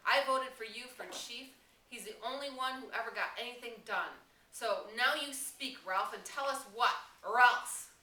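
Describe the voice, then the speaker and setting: A woman's voice: a little high, normal speed, sharp tone, pitched above the performer's own voice. A woman reading the part of an anxious, indignant schoolboy in a storybook, plaintive and insistent, with broken grammar. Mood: indignant pleading. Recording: roomy, distant classroom mic with noise.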